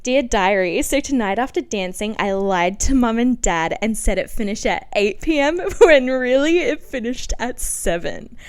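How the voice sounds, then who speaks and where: Clear-voiced young Australian woman, casually confessional. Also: on the high side, normal speed, few pauses. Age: 20s. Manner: animated, self-mocking, giggly asides, frequent "like". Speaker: podcast host. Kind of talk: casual, confessional podcast storytelling and diary reading.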